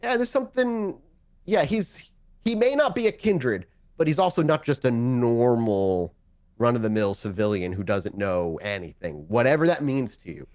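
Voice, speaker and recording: A man's voice: medium pitch, expressive, moderate pace, darkish tone. A man improvising narration as game master of a tabletop game, talking in a casual, conversational, sometimes profane way.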